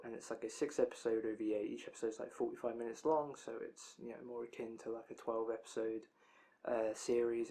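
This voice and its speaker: A young man rambles through an anime news roundup, his voice tired and worn out from talking so long. He keeps it loose and chatty, drifting into side remarks at an ordinary, mid-range pitch. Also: a little mellow. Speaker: anime release news vlogger.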